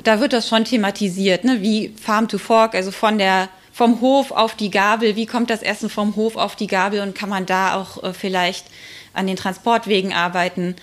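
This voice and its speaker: A woman giving informal expert answers in an interview, speaking thoughtfully and with frequent hesitant pauses. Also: medium pitch, steady tone.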